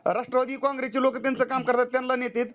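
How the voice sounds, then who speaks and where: High-pitched, very fast-talking man, pleading. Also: level tone, fairly bright tone. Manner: pleading, insistent, talks over the other person. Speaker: party youth-wing activist. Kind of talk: heated phone argument. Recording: recorded phone call.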